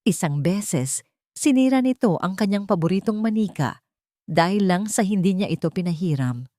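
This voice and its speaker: A woman's voice: mid-range voice, lively intonation, moderate pace, dark tone, no room sound, clean studio sound. A woman with a Filipino accent narrating a scripted dramatic story.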